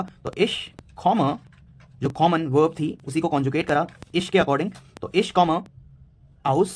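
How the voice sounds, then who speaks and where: Man, 19, Indian accent, beginner language tutor; unhurried, stop-start delivery with lots of fillers. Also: fairly high pitch. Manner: slow, halting delivery with many fillers and repetitions. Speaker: German teacher. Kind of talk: beginner language lesson, mixing Hindi and English.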